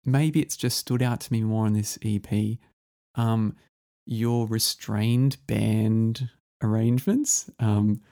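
Gravelly man hosting a music chat. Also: fairly low pitch, fairly even intonation, takes it slowly, mellow. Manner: rambling, enthusiastic, many fillers. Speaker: podcast host. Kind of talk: casual music interview.

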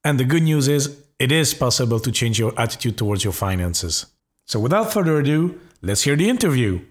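A man's voice: medium pitch, very animated, fairly quick. A man from Belgium, hosting a podcast interview, friendly, with many fillers and self-corrections. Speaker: podcast host.

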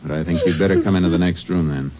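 A low-pitched American lawman played by a man, calm and methodical, questions people in a measured, matter-of-fact way. It is western radio drama from the 1950s, muffled and noisy with age. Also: natural voice. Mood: probing, calm. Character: Texas Ranger, calm, methodical, probing male investigator. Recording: muffled old radio recording with noise.

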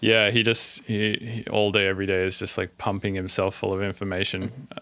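A man hosting a podcast chats in a laid-back way, full of likes and ums. His voice is low and smooth. It's recorded close and dry. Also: completely flat delivery, fairly quick, pushed and bright, dry recording. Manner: laid-back, chatty, uses filler words. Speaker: podcast host. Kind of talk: casual podcast chat.

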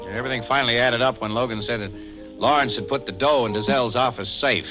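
An American actor playing a quick-witted, wisecracking crime photographer in a 1950s radio drama, with a brisk, slangy delivery. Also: male voice, mid-range voice, music in the background, natural voice, rough recording. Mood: explaining calmly. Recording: old 1950 radio broadcast recording.

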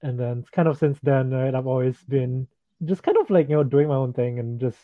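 A man's voice: medium pitch, brisk, no echo. Young man from Singapore on a tinny line. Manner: casual, many fillers like "like" and "you know", easy laughs. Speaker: guest, digital freelancer. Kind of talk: casual interview chat. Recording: tinny phone-like remote line, dry.

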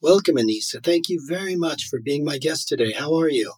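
Husky man hosting an interview. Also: a little high, lively intonation, a little fast, no room sound. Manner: courteous, stumbles and repeats words. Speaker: host, interviewer.

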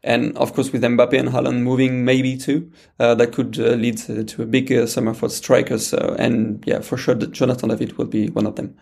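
A man with a clear French accent talks football as a podcast guest, his voice gravelly with a touch of fry. He speaks hesitantly, filling gaps with "uh" and restarting phrases, as a non-native speaker does. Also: mid-range voice, flat delivery, normal speed, few pauses, a little mellow. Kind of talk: casual podcast chat.

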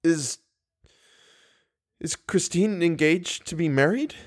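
A man's voice: on the high side, huge pitch swings, measured pace, voice pushed up. A man playing a flustered young nobleman. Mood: choked. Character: troubled young nobleman, confused and earnest.

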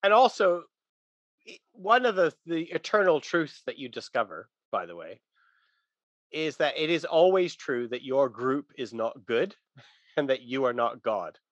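A man's voice: medium pitch, big pitch swings, unhurried, a bit bright, dry recording, lo-fi sound. A man talking through religious ideas in a casual, conversational but emphatic teaching manner.

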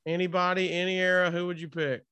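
High, bright-voiced male radio interviewer. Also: level tone, fast talker, clear, resonant tone. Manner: informal, jokey. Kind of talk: casual interview chat.

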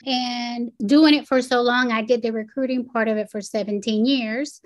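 A woman's voice: a little high, fairly even intonation, normal speed, no room sound. Earnest woman from Puerto Rico. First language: Spanish. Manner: earnest, conversational with occasional fillers. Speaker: foundation president and executive director. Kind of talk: interview, personal recollection.

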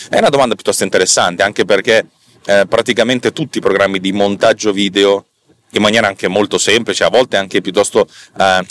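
A man's voice: low-pitched, a bit bright. A man hosting a podcast, giving informal technical explanations in a hesitant, self-correcting, colloquial way. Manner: colloquial, self-deprecating, mildly profane. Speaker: podcast host.

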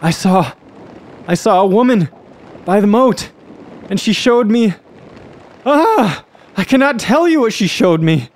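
A man performs a frightened fugitive from a ghost story. His voice jumps high as he pants, gasps and stammers in terror, short of breath. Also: expressive, measured pace, pauses often. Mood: terrified, gasping. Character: terrified man fleeing a ghost, panting and stammering.

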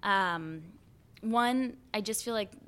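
A young American woman, an actor and singer, chatting as a podcast guest with lots of fillers and animated quotes. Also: mid-range voice, slow, clear, resonant tone. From United States. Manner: chatty, frequent "like" and "you know" fillers, animated quoting of others. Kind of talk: casual conversational interview.

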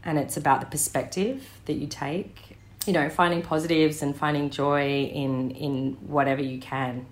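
An Australian woman in her thirties, an interview guest telling family stories in a thoughtful way, pausing with ums and restarting her sentences. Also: low-pitched, fairly even intonation. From Western Sydney, Australia. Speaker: interview guest, director and actor. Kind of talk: reflective conversational storytelling.

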